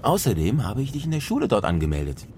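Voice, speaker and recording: A man's voice: mid-range voice, wildly swooping pitch, fast, darkish tone, a little hiss or hum. A man acting a firm but loving truck-driver father in a German children's radio drama, coaxing and admonishing. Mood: reassuring.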